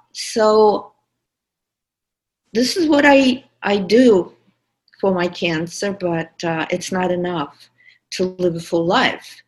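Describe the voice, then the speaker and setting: A woman's voice: on the low side, rough recording. A woman in her fifties with a Russian accent, wryly telling her personal story on a podcast with many fillers. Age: 50s. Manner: candid, wry, many fillers. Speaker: podcast producer and guest.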